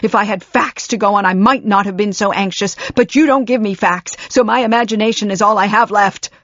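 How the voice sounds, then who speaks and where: A woman's voice: mid-range voice, normal speed, no room sound, highs cut off, lightly acted. A woman voicing a frantic mother scolding her teenage daughter. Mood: furious. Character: frantic mother, furious with fear.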